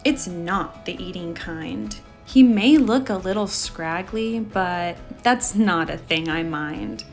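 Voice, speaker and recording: A kind, loyal little girl from a storybook, as a woman reader puts her on. She talks slowly and earnestly, with gentle firmness, while faint music plays behind. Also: on the low side. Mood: firm. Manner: earnest, firm.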